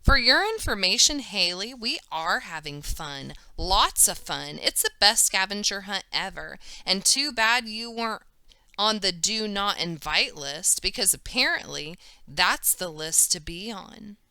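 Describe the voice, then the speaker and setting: A woman's voice: medium pitch, lively intonation, a little slow, sharp tone, smooth, no echo, full character voice. A woman voicing a bold, defiant schoolgirl from a children's book, emphatic and combative.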